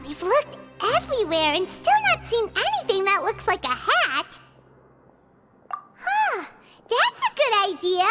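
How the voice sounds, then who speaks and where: A woman voicing a tiny floating fairy companion who talks about herself in the third person, chirpy and blunt, in a video game over loud music. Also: extremely high pitch. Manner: speaks of herself in third person, bubbly and quick to complain.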